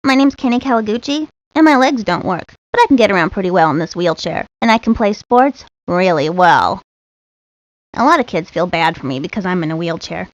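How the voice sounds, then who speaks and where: A woman's voice: mid-range voice, no room sound. A woman voicing a cheerful, confident boy athlete in a children's cartoon game. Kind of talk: cartoon game character lines. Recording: dull lo-fi old game audio.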